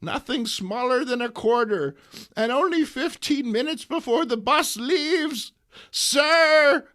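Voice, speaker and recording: A man's voice: very high voice, slow pace, pushed and bright, voice pushed up, full character voice. A man voicing a sweet but indignant and feisty elderly woman in a dramatic comic book reading. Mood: fretful.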